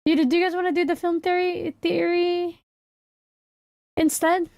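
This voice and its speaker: A woman hosting a casual livestream in a high voice. She talks slowly and hesitantly, thinking out loud as she goes. The sound is dry, with no room echo. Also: level tone, vocal fry. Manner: hesitant, rambling, thinking aloud. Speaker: livestreamer and VTuber host.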